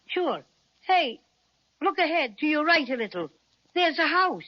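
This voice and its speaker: A small, plucky bear, voiced by a woman, high in pitch and friendly. The delivery is folksy and spirited, like a children's radio serial, with a slightly dull recording. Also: big pitch swings, a little slow. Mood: curious. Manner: folksy, plain-spoken, spirited. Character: plucky, friendly little talking toy bear.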